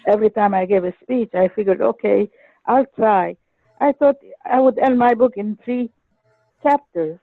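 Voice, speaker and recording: A woman's voice: mid-range voice, moderate pace, no echo. Older woman on a dry tinny phone line. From Egypt. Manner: earnest, hesitant with restarts. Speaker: caller. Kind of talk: phone-in sharing a personal story. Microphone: telephone.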